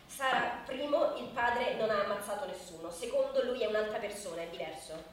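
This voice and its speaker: A woman playing a quick-witted, defensive young woman with brisk, dry retorts in an Italian radio drama. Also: medium pitch, expressive, natural voice. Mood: defensive, irritated. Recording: very echoey, distant, noisy room.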